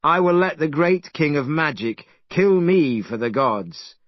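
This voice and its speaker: A man's voice: on the high side, animated, measured pace, no echo, muffled recording. A man voicing a clever, bold male monkey hero who speaks in a confident, commanding way.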